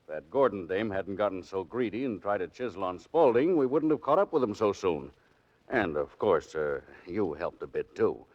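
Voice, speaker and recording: Low, animated radio police inspector. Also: male voice, moderate pace. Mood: grudging. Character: gruffly appreciative male police inspector. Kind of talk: 1940s radio acting. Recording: muffled 1940s radio recording.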